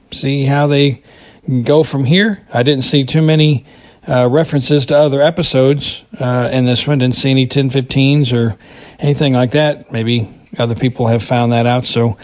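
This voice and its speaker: A man's voice: mid-range voice, a little mellow. An American man giving casual monologue commentary, rambling and hesitant as he thinks aloud. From United States. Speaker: podcast contributor reviewing a TV episode.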